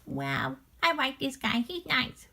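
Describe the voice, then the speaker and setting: A woman's voice: high voice, very animated, takes it slowly, creaky, bright, forceful tone, husky, voice pushed up, heavily acted. A woman voicing a childlike stuffed toy dog who is earnest and wide-eyed in a children's story. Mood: curious, admiring. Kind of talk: character reacting to a children's story.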